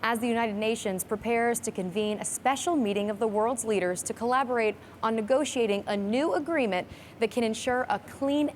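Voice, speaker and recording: A woman hosting a program, presenting scripted segments to viewers. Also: on the high side. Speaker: program host. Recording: clear background noise.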